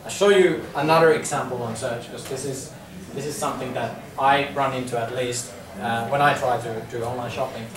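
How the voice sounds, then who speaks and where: A man's voice: medium pitch, fairly even intonation, fairly quick, a crowd in the background. Finnish man presenting in an echoey room. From Finland. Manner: chatty, repeats words, many ums. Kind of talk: business presentation. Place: conference room with audience. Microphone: far camera, no mic. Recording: echoey room from far off, faint hum.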